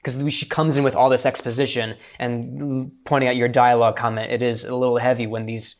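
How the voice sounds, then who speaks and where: Medium-pitched man giving feedback. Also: level tone, fast talker. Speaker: host and script consultant. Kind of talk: casual analytical discussion.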